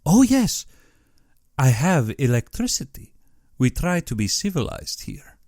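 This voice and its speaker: A man's voice: on the high side, big pitch swings, unhurried, a little mellow, no room sound, studio quality, pitched above the performer's own voice, full character voice. Man voicing a pompous, swooping aristocratic general. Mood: boastful. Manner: pompous, exaggeratedly courteous. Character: genteel, menacing aristocratic general who hunts men.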